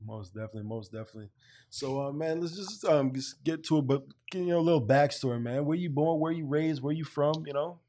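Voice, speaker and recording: A man's voice: on the high side, fast talker, warm tone, slight background noise. A man hosting a casual interview, talking in a hearty, friendly way and calling his guest "man" and "brother.". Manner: hearty, buddy-like, keeps saying "man". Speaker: podcast host.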